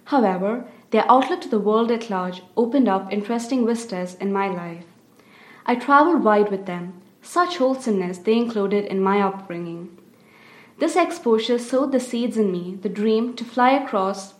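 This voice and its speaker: A young woman from India with an Indian accent, an undergraduate student reading her personal essay aloud in an earnest, measured way. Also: mid-range voice, expressive. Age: young adult. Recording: roomy echo.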